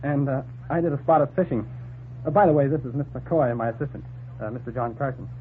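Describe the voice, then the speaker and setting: An actor playing a brisk, wisecracking newspaper reporter in a 1940s radio drama, breezy and bantering. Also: male voice, medium pitch, fairly even intonation, natural voice. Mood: sociable. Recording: tinny, noisy 1940s radio recording.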